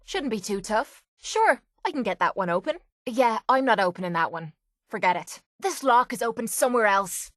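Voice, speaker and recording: A woman's voice: on the high side, lively intonation, normal speed, a bit bright, no echo, clean studio sound. A woman playing a tough, cocky young brawler in a video game, with an Irish accent and a blunt, sardonic manner. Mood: casual, assessing. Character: tough, brash, foul-mouthed young woman brawler and mercenary. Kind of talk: video game companion dialogue.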